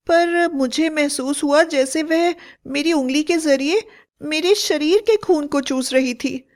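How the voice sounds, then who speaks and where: A frightened young village wife, voiced by a woman storyteller. Her voice sits high and she speaks in anxious, earnest tones, in the manner of a cartoon horror tale.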